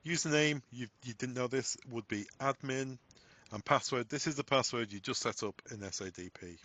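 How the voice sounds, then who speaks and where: A man presenting a software tutorial, giving step-by-step instructions in a voice-over. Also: medium pitch, moderate pace. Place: voice-over on a screen recording.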